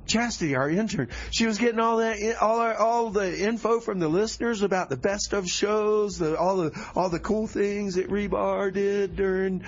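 A man's voice: high voice, normal speed, few pauses. Rambling radio DJ played by a man, muffled sound. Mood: flustered. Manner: rambling, repeats himself. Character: flustered, slacker male music-show DJ. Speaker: radio DJ host. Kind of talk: community radio comedy show banter.

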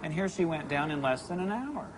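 A man's voice: fairly high pitch, expressive, a little fast, noisy background. A man, explaining as an interviewed expert. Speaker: maritime artist interviewed as expert.

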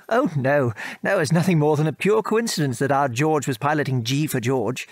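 A man's voice: a little high, moderate pace, no echo, close to an everyday voice. Man acting british dad, ex wartime airman telling his kid stories, old-fashioned phrases, lightish voice, close dry recording. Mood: fond reminiscing. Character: affectionate father, former wartime RAF officer, reminiscing. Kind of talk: audio drama storytelling.

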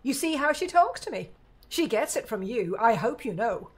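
A woman's voice: a little high, big pitch swings, normal speed, husky. Fretful, doting middle-aged mother, acted by a woman. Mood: indignant. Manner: fussing, emotional. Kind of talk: audiobook drama acting.

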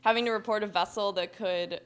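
A young woman presenting recommendations from a podium in a lecture hall, earnest and steady with occasional restarts. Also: mid-range voice, a little fast, smooth. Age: young adult. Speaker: student presenter. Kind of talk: academic presentation.

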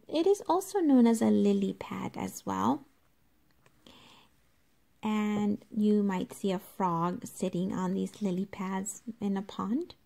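A woman reads aloud as a teacher to young children. Her pace is slow and deliberate, with a noticeable creak in a mid-pitched voice. She pauses to explain things in an instructive way, speaking directly to the children. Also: mellow.

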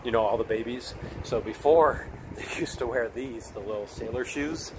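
A man's voice: medium pitch, normal speed, background noise. A man hosting a casual unboxing vlog, exclaiming and repeating himself as he talks directly to viewers. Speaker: vlog host.

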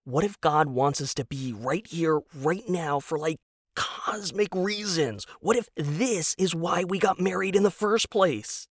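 A man's voice: a little high, unhurried, a bit bright, no echo, studio quality, pitched above the performer's own voice. A man voicing a naive, eager husband in an audio drama, rambling earnestly with wide-eyed enthusiasm. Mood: eager, excited. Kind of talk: audio drama character dialogue.

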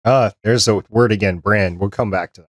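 A man's voice: lowish voice, brisk, dry recording, clean studio sound. A man in his fifties hosting a casual interview, wry and steering the conversation along. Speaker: podcast host. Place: home office, video call. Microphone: close studio mic with headphones.

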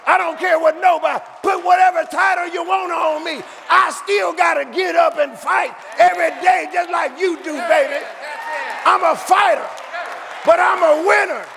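A man's voice: very high voice, normal speed, vocal fry, sharp tone, raised voice, a faint crowd, slight background noise. A man preaching a fervent sermon, repeating phrases and calling the congregation to answer. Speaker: pastor. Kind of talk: shouted sermon. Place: church sanctuary. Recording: echoey hall with congregation.

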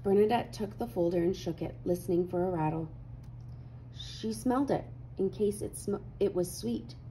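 A woman reading a children's picture book aloud with animated, dramatic emphasis. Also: medium pitch, normal speed, slight background noise, low-quality recording. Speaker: read-aloud host.

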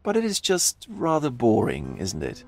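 An actor playing a languid, flamboyant art lover in a video game, speaking with arch theatrical drawl. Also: male voice, mid-range voice, wildly swooping pitch, normal speed, gravelly, a little mellow, faint music behind, exaggerated voice. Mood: bored politeness. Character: droll, languid, flamboyant male art aesthete. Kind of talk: video game cutscene acting.

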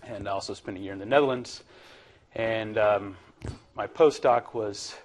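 A man in his thirties from Virginia giving a relaxed, chatty university lecture to a classroom, with plenty of ums and uhs. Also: on the low side, moderate pace, a little hiss or hum. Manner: relaxed, chatty, filler-heavy.

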